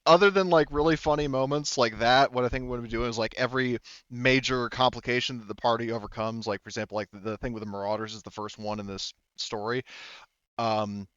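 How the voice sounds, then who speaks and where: A man's voice: mid-range voice, fairly even intonation, fast talker, fairly bright tone, clear, resonant tone, no echo. A man chatting casually as game master after a tabletop game session, informal and freely swearing, on a slightly dull mic.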